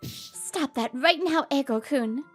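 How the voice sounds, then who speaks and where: A woman's voice: high voice, takes it slowly, a bit bright, soft background music. A woman voicing a poised, protective professional woman in a comic dub, speaking with composed assertiveness. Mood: stern.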